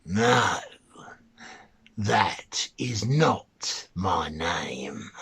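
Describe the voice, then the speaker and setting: A spiteful little mannikin from a fairy tale. The voice is husky and swings widely in pitch. Words are stretched out slowly with gloating menace. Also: male voice, lively intonation, a bit bright, full character voice. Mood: smug, menacing.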